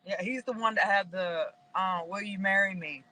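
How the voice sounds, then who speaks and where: A woman's voice: medium pitch, normal speed, bright, forceful tone, no room sound. A middle-aged woman talking casually and personally on a jail video call. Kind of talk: casual personal phone conversation.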